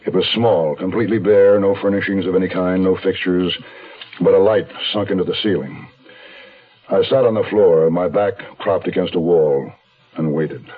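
A man's voice: sits low, steady tone, normal speed, breathy edge. An actor playing a wary man on the run, telling his story tersely in a 1970s American radio suspense drama. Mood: tense, terse narrating. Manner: terse, guarded. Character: terse, wary male fugitive narrating his own story. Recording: dull 1970s radio recording.

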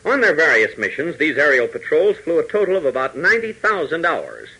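A man's voice: fairly high pitch, wildly swooping pitch, fairly quick, bright, forceful tone, breathy edge, low-quality recording. An American man narrating a 1940s radio adventure serial in a dramatic, emphatic announcer style.

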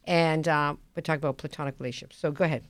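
A woman's voice: low-pitched, steady tone, very fast, dry recording. A woman, hosting a casual radio talk show in a blunt, emphatic way and often repeating herself. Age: 50s. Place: radio studio.

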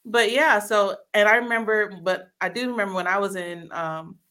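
A woman's voice: mid-range voice, normal speed, bright, forceful tone. A woman hosting a casual interview, chatty and laughing often, who spoke Korean first as a child. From raised in a military family. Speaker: host.

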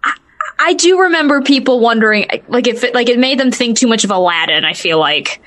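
A woman's voice: on the high side, expressive, a little fast, fairly bright tone. A woman co-hosting a podcast in casual chat, often chuckling as she talks. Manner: chuckles often while talking. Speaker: podcast co-host.

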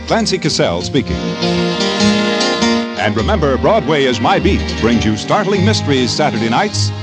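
A man announcing credits and promos in formal 1950s network radio style. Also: medium pitch. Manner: formal, rolling announcer delivery. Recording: vintage 1950s radio broadcast with loud music.

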